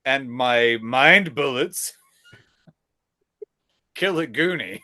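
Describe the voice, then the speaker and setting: A man's voice: medium pitch, lively intonation, very slow, sharp tone, no echo. A man co-hosting a podcast in casual chat, laid-back and wry, swearing casually. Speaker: podcast co-host.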